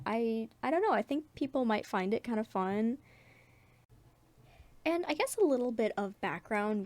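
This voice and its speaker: Female creator, informal viewer chat, self-deprecating and giggly, mid-pitched, dry recording. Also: a little mellow, no room sound. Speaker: webcomic artist and video creator.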